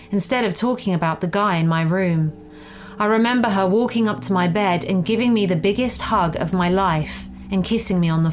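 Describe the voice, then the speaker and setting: Woman narrating a story, faint noise behind. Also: lowish voice. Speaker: story reader. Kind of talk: first-person scripted story narration.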